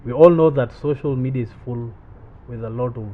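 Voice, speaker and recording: A young man hosts a casual podcast and stumbles through his questions with frequent restarts. His voice is mid-pitched. The audio is tinny and narrow like a phone line, with a faint hiss. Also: brisk. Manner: hesitant, with many restarts. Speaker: podcast host.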